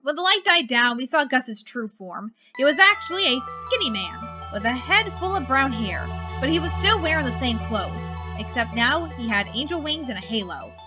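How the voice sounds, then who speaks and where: A woman's voice: high-pitched, sing-song, moderate pace, few pauses, over music. A woman reading a fan fiction story aloud as narrator, acting out the dialogue expressively.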